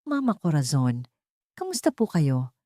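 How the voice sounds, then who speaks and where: A woman voicing a wronged heiress in an audio drama, composed and quietly vengeful, speaking gently but with a cutting, authoritative edge. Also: sits low, huge pitch swings, measured pace, no echo, clean studio sound, exaggerated voice. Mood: icy politeness. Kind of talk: dramatic audio story acting.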